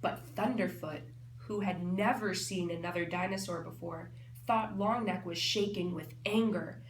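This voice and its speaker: A woman's voice: on the low side, measured pace. Woman teacher reading aloud, echoey room. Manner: explanatory, thinking aloud for children. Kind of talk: expressive interactive storybook read-aloud. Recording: echoey room, faint background noise.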